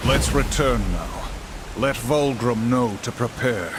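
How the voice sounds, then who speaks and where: A man's voice: mid-range voice, animated, slow pace, noisy background. An actor playing a stern, dutiful male warrior horseman in a video game, solemn and formal. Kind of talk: video game cutscene acting.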